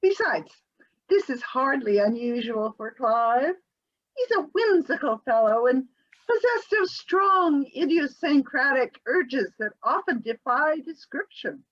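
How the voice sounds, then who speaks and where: A woman's voice: high voice, animated, a little slow, muffled recording. A woman reading the part of an evasive, chattery housewife in a comic scene, with a quavering, giggly delivery over a home video call. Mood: airy. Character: flighty, evasive middle-aged housewife, breezily unconcerned. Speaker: reader in an amateur readers theater performance. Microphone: home video call mic.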